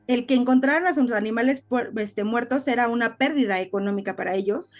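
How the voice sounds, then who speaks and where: A woman's voice: fairly high pitch, fairly quick. A woman co-hosting a casual podcast, explaining things like a storyteller with frequent little tag questions. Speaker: podcast co-host.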